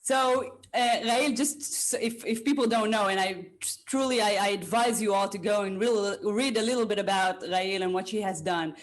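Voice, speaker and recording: A female podcast host speaks conversationally at a medium pitch. She talks in halting, emphatic phrases, often repeating words as she introduces and questions. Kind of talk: conversational interview hosting.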